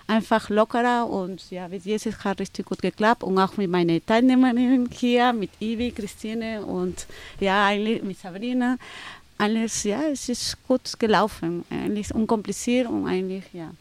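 Woman speaking halting German. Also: mid-range voice. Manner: halting non-native German with many fillers and restarts. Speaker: photographer and event organizer. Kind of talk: interview answers.

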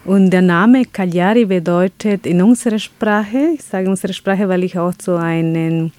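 A woman with an Ecuadorian Spanish accent and Quechua as her first language speaks in a somewhat low voice during an interview. She hunts for words, starting sentences over and filling pauses. The recording is dry and close. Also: warm tone, dry recording. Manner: searching for words, frequent restarts and fillers. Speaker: guest, chocolate business founder. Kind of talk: interview answers.

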